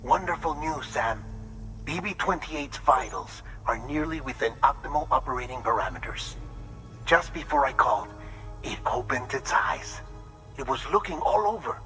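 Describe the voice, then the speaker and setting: A man's voice: mid-range voice, animated, measured pace, sharp tone, faint music behind, rough recording. A man playing a caring, precise technician in a video game, mixing clinical wording with tender, confiding delivery. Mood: pleased, then tender, wondering. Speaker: technician character. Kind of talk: video game dramatic voice acting.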